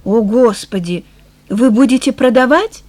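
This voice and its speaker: A woman's voice: a little high, unhurried, a little mellow, no echo. A woman voicing a downcast, hesitant middle-aged shop woman in an audiobook, subdued in manner. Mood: glum, reserved. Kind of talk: audiobook character dialogue.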